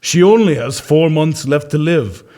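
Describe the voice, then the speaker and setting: Guy doing a serious doctor in a comedy sketch, talks real slow n deadpan, pitch moves around a lot. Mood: solemn, grave. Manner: solemn, deliberate, deadpan. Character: grave male doctor delivering absurd bad news. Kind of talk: comedy audio sketch acting.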